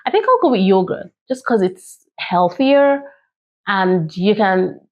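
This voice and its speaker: Slow-paced woman hosting children's storytime. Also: mid-range voice, expressive, dull audio. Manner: playful, chatty, addressing young listeners directly. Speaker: children's storytime host and reader. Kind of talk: children's read-aloud with chat and singing.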